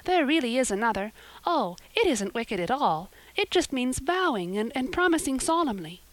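A woman's voice: sits high, very animated, normal speed, voice pushed up, rough recording. A woman voicing an eager, talkative orphan girl with a gushing, romantic way of speaking. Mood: earnest.

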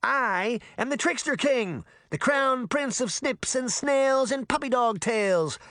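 A man voicing a cocky, mischievous fairy boy in a children's fantasy audiobook, boastful, taunting and loud. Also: extremely high pitch, lively intonation, takes it slowly, dry recording, studio quality, pitched above the performer's own voice, heavily acted. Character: cocky mischievous immortal fairy boy, gleefully rebellious trickster.

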